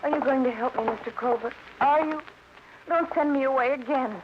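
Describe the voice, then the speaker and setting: A woman's voice: high-pitched, a little fast, close to an everyday voice. An actress playing a desperate, persistent woman who pleads earnestly in an old radio crime drama. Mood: pleading. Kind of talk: 1950s radio drama acting. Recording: very noisy, dull old radio recording.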